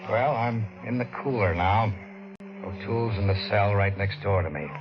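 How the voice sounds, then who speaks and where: A man's voice: on the low side, normal speed, over loud music, lightly acted. Man playing a hard-boiled wisecracking drifter. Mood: sardonic. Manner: tough, sardonic, hard-boiled. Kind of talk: 1940s radio acting. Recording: muffled old radio recording with loud hiss.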